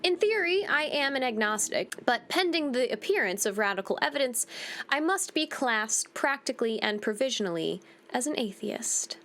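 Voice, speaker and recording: A woman's voice: fairly high pitch, expressive, moderate pace, a bit bright, a little hiss or hum. A woman hosting a game playthrough, reading text aloud and commenting on it in a casual, wry, playful way.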